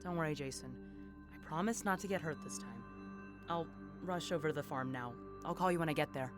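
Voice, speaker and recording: A woman's voice: deep voice, a little fast, over music, lightly acted. An actress playing a determined but guarded female investigator in an audio drama, earnest and a little hesitant. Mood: subdued, guarded.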